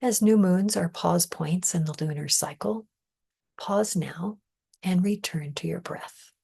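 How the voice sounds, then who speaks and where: A woman leading a guided meditation in a soft, breathy, often near-whispered voice, calm, measured and soothing. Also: fairly low pitch. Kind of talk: guided meditation, scripted narration.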